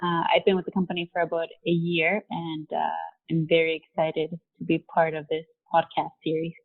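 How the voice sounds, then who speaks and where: A woman in her forties, raised in Mexico, speaks candidly about her life in a relaxed interview, with easy fillers along the way. Her voice sits a little low. It comes through a narrow, phone-like video-call line. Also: brisk, creaky. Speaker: guest, company vice president.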